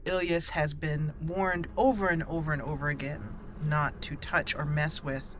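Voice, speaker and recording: A woman's voice: low voice, steady tone, moderate pace, noisy background. A woman hosting a roleplay video game series, chatting casually with frequent fillers and self-corrections. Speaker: let's play host.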